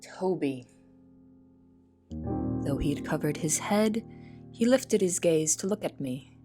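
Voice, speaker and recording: A woman playing a wry young cook who narrates her kitchen life in an expressive, storytelling way for an audio drama. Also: low-pitched, slow pace. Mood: wry, fond. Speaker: narrator and lead character. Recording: music behind the voice.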